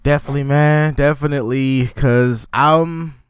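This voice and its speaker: A man in his thirties hosting a podcast, telling stories in a relaxed, rambling way with lots of fillers. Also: medium pitch, normal speed, smooth, no room sound, clean studio sound. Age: 30s. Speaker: podcast host. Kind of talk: casual chat.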